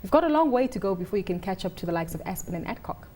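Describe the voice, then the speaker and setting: A woman anchoring a business news interview, asking brisk, probing questions. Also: mid-range voice, expressive, darkish tone.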